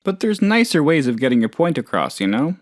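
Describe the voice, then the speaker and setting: A man's voice: a little high, big pitch swings, brisk, dry recording, clean studio sound. A man playing a hapless, trusting, lonely oddball in a video game, talking in a rambling, hesitant, good-natured way. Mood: hesitant, wounded. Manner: rambling, hesitant, naive, chatty. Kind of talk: video game character dialogue.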